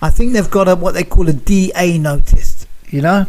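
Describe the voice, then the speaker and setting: Guest, man, 60s, high pitch, rambling and repetitive. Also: moderate pace, darkish tone. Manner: talks over others, repeats phrases, addresses host by name. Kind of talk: casual interview chat.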